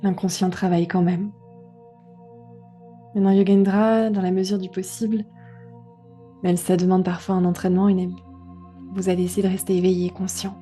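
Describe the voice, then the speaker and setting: A woman guiding a relaxation meditation, giving gentle, unhurried instructions with pauses. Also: lowish voice, level tone, faint music behind. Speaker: yoga nidra guide.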